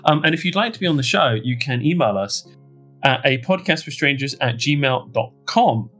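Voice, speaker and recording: A man's voice: mid-range voice, lively intonation, moderate pace, a bit bright, faint music behind. A man hosting a podcast in a casual comedic chat, rambling and joking at his own expense. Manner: jokey, rambling, self-mocking. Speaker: podcast host.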